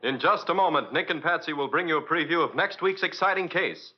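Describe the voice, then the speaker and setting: A man's voice: a little high, brisk, highs cut off, faint music behind. A man with an American accent announcing in a polished, emphatic 1940s radio sponsor style, on an old broadcast recording.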